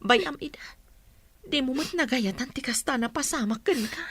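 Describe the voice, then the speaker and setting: High-voiced, sorrowful mother. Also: normal speed, fairly bright tone, husky, heavily acted. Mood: sorrowful, pleading. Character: sorrowful, consoling mother pleading with her child. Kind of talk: Ilocano radio drama acting.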